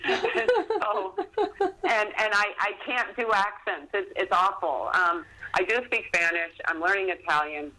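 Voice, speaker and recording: A woman's voice: mid-range voice, a little slow, rough recording. A middle-aged woman, telling stories casually as an interview guest, chatty and self-deprecating. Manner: chatty, self-deprecating, animated retelling of exchanges. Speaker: podcast guest, author.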